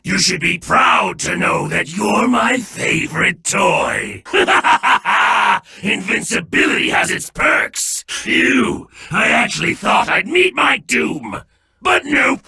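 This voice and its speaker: A manic, treacherous anime game villain with a very high, piercingly sharp voice. He taunts and gloats in hammy, theatrical fashion, often shouting his lines. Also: vocal fry, husky, recorded from a distance, exaggerated voice. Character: manic, gloating, treacherous alien villain who feigns cheerfulness.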